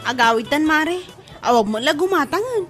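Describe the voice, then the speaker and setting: A woman's voice: high-pitched, animated, moderate pace, loud music behind the voice. An actress with an Ilocano accent playing a chatty, bantering market woman in a radio comedy drama. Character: chatty market-woman friend of a grumbling vendor.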